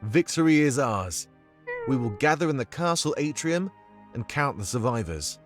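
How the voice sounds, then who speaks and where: A man playing a confident, measured rebel strategist in a fantasy visual novel game, briefing formally and deliberately. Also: medium pitch, sing-song, soft background music, lightly acted. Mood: resolute, briefing.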